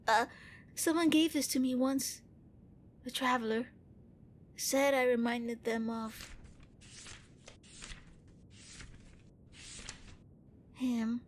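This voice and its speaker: A woman playing a gentle, bookish young vampire prince in an ASMR roleplay, speaking with hesitant, earnest courtesy. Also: fairly high pitch, a little hiss or hum. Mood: uneasy, wistful. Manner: hesitant, politely fussy, earnest.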